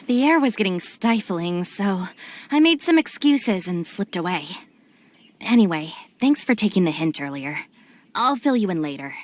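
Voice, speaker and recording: Level-headed young deputy. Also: female voice, mid-range voice, sing-song, a little slow. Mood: discreet. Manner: practical, tactful. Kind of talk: anime fantasy game voice acting.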